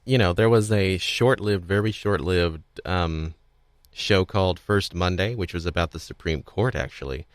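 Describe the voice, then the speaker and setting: Male podcast host with a low voice and dry, close sound; conversational, loosely wandering phrasing. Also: normal speed, no echo. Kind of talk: casual podcast discussion.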